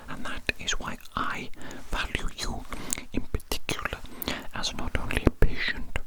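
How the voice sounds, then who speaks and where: An ASMR performer voicing a gentle, doting alien doctor in a breathy, unvoiced whisper, soothingly repeating phrases to a patient. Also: lightly acted, rough recording. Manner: slow soothing repetition of phrases.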